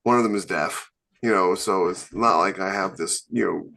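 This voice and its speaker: A man with a fairly low voice co-hosts a podcast in loose, casual chat. He rambles and leans hard on his points, scattering "you know" fillers as he goes. He sounds like he is talking into a podcast mic. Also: normal speed, creaky, sharp tone, a little hiss or hum. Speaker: podcast co-host and author.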